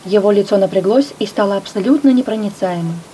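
Woman, audiobook narrator, mellow warm tone, expressive first-person reading, faint hiss. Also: medium pitch, fast talker.

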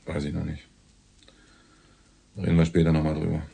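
An actor playing a terse, skeptical police lieutenant in a German radio drama, asking curt, probing questions. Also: male voice, a bass voice, level tone, normal speed, creaky, noisy background, heavily acted.

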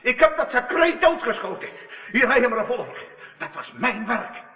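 Hysterical gloating gunman in a radio drama, very high sharp voice, far off, muffled. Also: sing-song, normal speed, creaky, exaggerated voice. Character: hysterical, gloating avenger confessing to a killing. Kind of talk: Dutch radio play acting. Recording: muffled old radio recording, distant reverberant hall.

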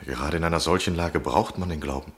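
An actor playing a courteous, insistent gentleman lodger in a 1960 German radio drama, speaking in a polite, coaxing manner. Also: very deep voice, quick pace, slightly rough, exaggerated voice. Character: courteous, insistent male lodger wooing a shy woman. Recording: noisy old radio recording with clicks.

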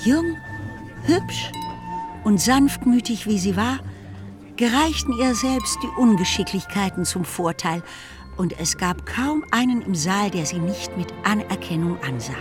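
A woman narrating a literary radio play as an expressive storyteller. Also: medium pitch, measured pace, over music.

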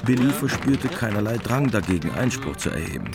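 Music runs behind a low male voice. The man delivers scripted literary narration for a radio play. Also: very animated, fairly quick, gravelly.

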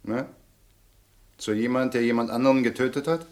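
A man's voice: medium pitch, fairly even intonation, moderate pace, a little mellow, lightly acted. An actor playing a stern, patient, insistent police inspector in a radio crime play, formal and controlled as he probes.

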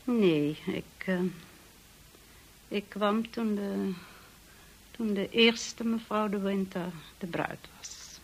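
An actress playing a cold, formal, gaunt housekeeper in a radio play, speaking with measured restraint. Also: female voice, mid-range voice, vocal fry, a little hiss or hum. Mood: cold, guarded.

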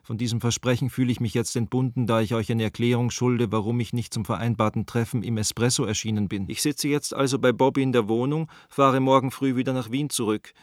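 A rueful, apologetic man from Vienna played by an actor explains himself in a letter to friends. His voice is mid-pitched and wry, recorded close and dry for a radio play. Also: steady tone, fast talker, hardly any pauses, a little mellow, no room sound, natural voice. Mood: rueful, explanatory. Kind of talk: radio drama, letter read aloud.

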